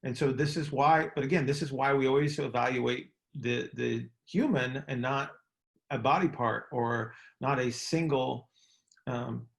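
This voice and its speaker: A man's voice: medium pitch, creaky. A man explaining things informally on a group call as host and coach, often repeating his words and checking for agreement. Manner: halting, repeats words, asks checking questions.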